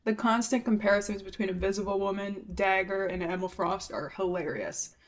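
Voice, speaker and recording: A young woman hosting a casual game reaction video, chatty, excitable and playful. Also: mid-range voice, a little fast. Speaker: YouTube reaction host.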